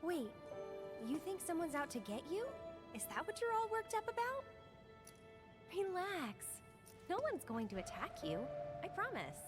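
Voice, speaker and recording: A woman voicing a gentle, playful young woman in a video game, light and teasing as she reassures, with music around her. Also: extremely high pitch, huge pitch swings, measured pace, pauses often, exaggerated voice. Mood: playful, reassuring.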